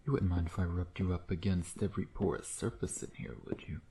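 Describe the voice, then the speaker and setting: A man playing a smug, teasing vampire predator in an intimate ASMR roleplay, sardonic and flirtatious, often slipping into breathy murmurs. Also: sits low, level tone, a little fast, background noise. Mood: wry, flirtatious.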